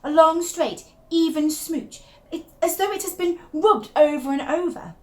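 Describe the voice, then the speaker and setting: A woman performs an unravelling young wife in a dramatic monologue, her voice pitched high. She is intense and confiding, moving between hushed secrecy and emphatic, obsessive fascination, as if telling a diary what nobody else may know. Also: measured pace. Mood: obsessed, uneasy. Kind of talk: dramatic first-person monologue reading.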